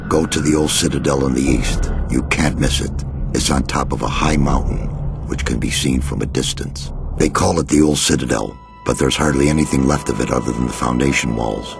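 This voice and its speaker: An actor playing a haughty, impatient reclusive mage in a fantasy video game, speaking formally and with command. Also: male voice, fairly quick, gravelly. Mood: stern commanding. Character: imperious reclusive male necromancer mage, haughty, impatient scholar. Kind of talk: video game character dialogue. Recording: loud music and rumble under the voice. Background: loud game music.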